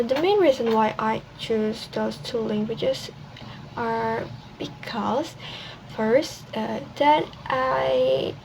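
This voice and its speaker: A young woman from Indonesia, a university student with an Indonesian accent, presenting casually in a second language with many pauses and restarts. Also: a little high, creaky, poor audio. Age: young adult. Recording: steady background noise.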